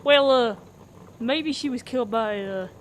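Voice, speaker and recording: An eager, paranoid conspiracy believer played by a woman in a radio comedy. The voice is high-pitched and slow overall, keen to accuse yet quick to back down. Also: a little hiss or hum, close to an everyday voice. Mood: floundering. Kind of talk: comedic radio play acting.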